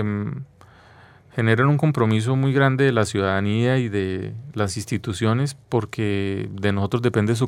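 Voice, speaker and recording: A man's voice: fairly low pitch, no echo. A man with a Colombian accent explaining things didactically as an interview guest, often checking with short tag questions.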